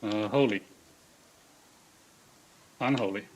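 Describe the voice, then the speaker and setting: A man's voice: lowish voice, slow, darkish tone, a little hiss or hum. Israeli-accented man mumbling through game prep. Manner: mutters half-sentences to himself while building a character. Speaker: streamer. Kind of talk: live gaming stream, reading chat aloud. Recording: dull lo-fi stream audio.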